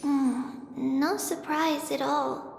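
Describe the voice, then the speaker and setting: A woman voicing a gentle, wistful young female guide in a video game, speaking in a soft, measured, solemn way. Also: high-pitched, fairly even intonation, vocal fry, a little hiss or hum, heavily acted. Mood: calm, composed. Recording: reverberant hall effect under music.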